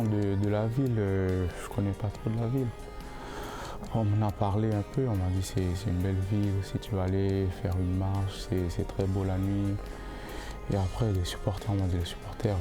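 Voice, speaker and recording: A young male football player answering interview questions in a casual, halting way, often repeating words while he looks for the phrasing. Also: low voice, gravelly. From born in the United States, raised in Canada. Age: young adult.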